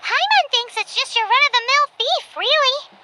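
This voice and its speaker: A woman voicing a tiny, excitable, childlike fairy companion in a video game, squeaky and chirpy, cheekily speaking about herself. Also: moderate pace, a little hiss or hum, exaggerated voice. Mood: cheeky. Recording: music behind the voice. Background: game music.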